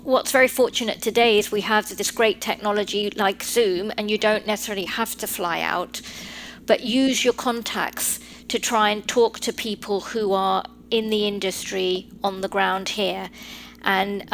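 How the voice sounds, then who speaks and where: A woman in her forties with a London English accent speaks at a middle pitch, explaining things in a measured, advisory way with the odd filler. Her voice carries over a faint hiss of background noise. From Britain. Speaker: guest lawyer. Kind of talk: podcast interview.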